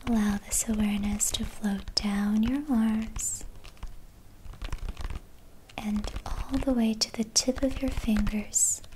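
A woman whispering a guided meditation in a slow, soothing manner. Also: a little high, level tone, mellow, slight background noise, poor audio.

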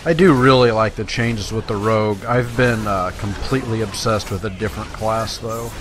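A man's voice: lowish voice, normal speed, faint music behind. A man giving casual, self-deprecating let's-play commentary, talking directly to his viewers. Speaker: gaming YouTuber, host. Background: video game sound.

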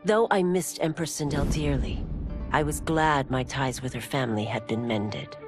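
An actress playing a plainspoken police constable, narrating a game ending over music. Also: female voice, very deep voice, normal speed. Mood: steady. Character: blunt female police constable.

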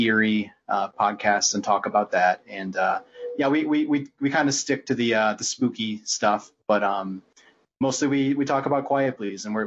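Lowish-voiced man rambling, noisy lo-fi sound. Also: fairly bright tone. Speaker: podcast guest. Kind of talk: casual chat. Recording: dull lo-fi sound with background noise.

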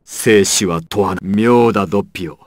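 A man's voice: on the low side, moderate pace, no echo, clean studio sound, natural voice. A man playing a proud, ruthless crime boss villain in an anime fighting game, with an imperious, menacing and theatrical delivery. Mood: grave, commanding.

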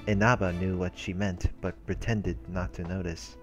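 A man reading visual novel narration aloud as a let's play host, in a measured manner with brief casual commentary. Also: low-pitched, flat delivery. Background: game music.

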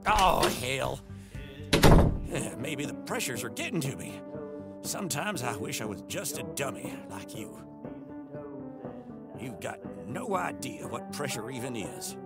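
An older cowboy showman for a comic dub, blustering and anxious. The voice is gravelly and swings widely in pitch as he bosses folks around theatrically. Loud music plays underneath. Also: big pitch swings, measured pace, pauses often. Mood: flustered, weary. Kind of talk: comic dub character acting.

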